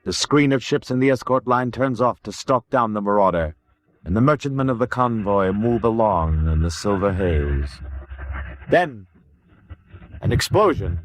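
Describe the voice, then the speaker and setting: Declamatory male narrator with swinging pitch. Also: expressive, moderate pace. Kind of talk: dramatic scripted narration.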